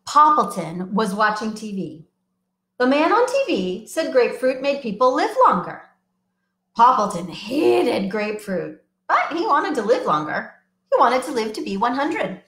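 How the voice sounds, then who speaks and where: Lively woman reading aloud to small children. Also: a little high, roomy sound. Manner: animated, sing-song, coaxing children to join in. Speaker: children's librarian. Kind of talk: storytime read-aloud for young children.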